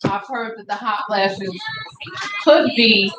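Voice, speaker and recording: Woman guest, calm and explanatory, echoey room with clear background noise. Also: medium pitch, slow pace, muffled recording. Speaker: guest co-host. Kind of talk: casual explanation.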